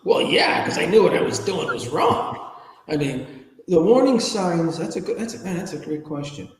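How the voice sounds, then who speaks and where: An American man from Chicago, fifties or older, telling his own story candidly as a podcast guest in an informal, halting, emphatic way. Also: high voice, big pitch swings, slight background noise. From Chicago, United States. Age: 50s or older. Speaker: podcast guest, ethics trainer and speaker. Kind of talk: candid personal storytelling in an interview. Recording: roomy, echoing reverberant room.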